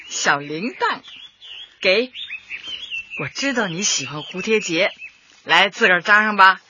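A woman's voice: mid-range voice, lively intonation, slow pace, long pauses. A woman playing a kindly, doting elderly great-grandmother in a radio drama, who often repeats her words. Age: older. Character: kindly elderly great-grandmother, beloved community party secretary. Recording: dull lo-fi broadcast with faint noise.

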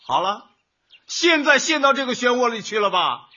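A man's voice: extremely high pitch, very animated, normal speed, sharp tone, husky, exaggerated voice. A male actor playing a fussy, pedantic, nagging husband in a comic radio drama, scolding in a bookish way. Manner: scolding, bookish, uses proverbs. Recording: dull lo-fi audio.

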